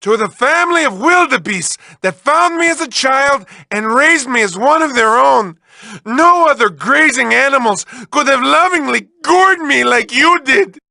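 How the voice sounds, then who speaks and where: Manic game villain: whiny mad scientist, shrill and piercing, wildly swooping, hammy. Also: male voice, lively intonation, a little slow, gravelly, sharp tone, no room sound, heavily acted. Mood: theatrically gushing. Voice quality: high-pitched, shrill. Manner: theatrical, hammy, erratic outbursts. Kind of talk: comic video game character voice acting.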